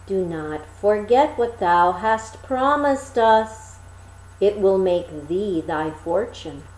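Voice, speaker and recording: A woman voicing strange storybook spinner women who bargain with solemn insistence in measured, archaic phrasing. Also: mid-range voice, animated, a little mellow, echoey room, pitched below the performer's own voice, low-quality recording. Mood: solemn reminder.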